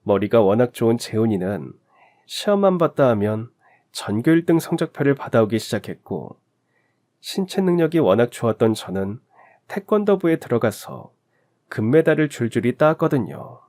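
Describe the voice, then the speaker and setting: A man's voice: mid-range voice, very animated, darkish tone. Man narrating a heartfelt story. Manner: emotive, measured storytelling.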